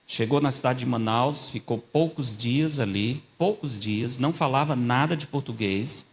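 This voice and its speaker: Older male guest preacher, mid-pitched, conversational storyteller who lifts his voice on key scripture points. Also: normal speed. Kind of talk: sermon with storytelling. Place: church.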